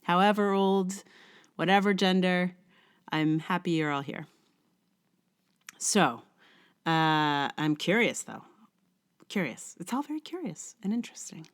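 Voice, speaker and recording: A woman's voice: on the low side, animated, unhurried, pauses often, clear, resonant tone. A middle-aged woman hosting a solo podcast, musing in a wry, self-questioning way. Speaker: podcast host. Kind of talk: scripted essay reading, then casual rambling solo podcast talk.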